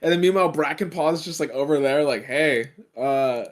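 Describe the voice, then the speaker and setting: A man's voice: a little high, brisk. A man on a podcast panel, talking in casual, slangy chat.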